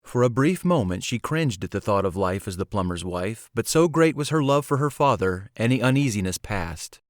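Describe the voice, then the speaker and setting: A man's voice: fairly low pitch, expressive, moderate pace, dry recording, studio quality. A man reading scripted fantasy storybook narration as an audiobook narrator.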